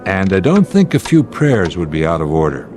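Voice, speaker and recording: An actor playing a calm, commanding airline captain in an old-time radio drama style, speaking in clipped sentences. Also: male voice, low voice, moderate pace. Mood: grave, resolute. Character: steady, authoritative male airline captain. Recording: dramatic music underneath.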